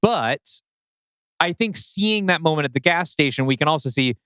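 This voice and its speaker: Clear, smooth-voiced man talking analytically. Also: a little high, animated, normal speed, pushed and bright, no room sound, studio quality. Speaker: podcast co-host. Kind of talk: casual analytical story discussion.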